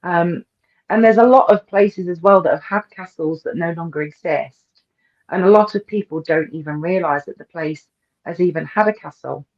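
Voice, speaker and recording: A woman's voice: lowish voice, moderate pace. Muffled woman, eager. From English East Midlands. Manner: enthusiastic, hesitant with many ums and repeats. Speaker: guest author and paranormal researcher. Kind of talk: casual interview chat.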